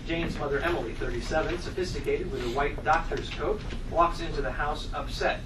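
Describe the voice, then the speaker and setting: A man with a fairly high voice narrates a screenplay at a table read, reading out the stage directions. He is picked up from a distance in an echoey room. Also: a little fast, noisy background.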